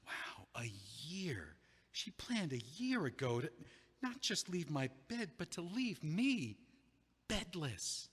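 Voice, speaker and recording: A man's voice: high voice, big pitch swings, takes it slowly, fairly bright tone, breathy edge, heavily acted. An actor in an audio play, playing a recently dumped man who talks in a dry, wry, hesitant way, recorded with a long hall reverb. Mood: rueful. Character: recently dumped man, rueful and self-mocking.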